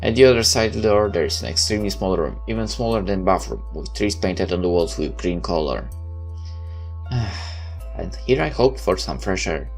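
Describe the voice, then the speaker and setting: A man's voice: sits low, animated, moderate pace, creaky. A man doing a let's play, reading a visual novel aloud with wry, joking asides and a dramatic delivery that sometimes stumbles. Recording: music behind the voice. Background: game music with guitar.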